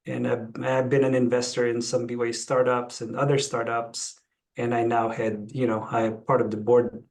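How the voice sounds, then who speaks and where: Man with a creaky voice. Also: mid-range voice, a little mellow, poor audio. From Philippines. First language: Tagalog. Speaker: interview guest, chief executive. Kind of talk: conversational personal account.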